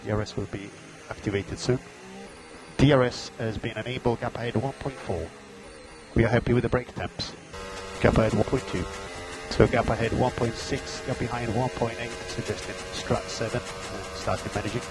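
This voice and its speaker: A man's voice: mid-range voice, moderate pace. Man, race engineer, team radio, matter-of-fact updates, music underneath. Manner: steady stream of gaps, times and instructions.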